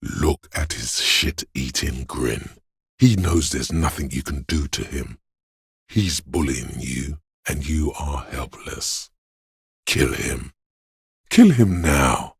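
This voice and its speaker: Game narrator casting: menacing primal instinct, gravel-heavy male voice, unhurried, deliberate, very dry close sound. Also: dry recording, exaggerated voice. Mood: violent, primal. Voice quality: gravelly, husky, very deep. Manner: brooding, deliberate, ominous. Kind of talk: dramatic second-person game narration.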